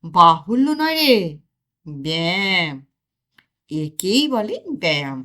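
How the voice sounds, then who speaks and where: A woman's voice: on the low side, sing-song, takes it slowly, pushed and bright, heavily acted. Woman voicing a boastful young muscle buff. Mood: showing off. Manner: lecturing, showing off. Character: boastful young man, exercise enthusiast.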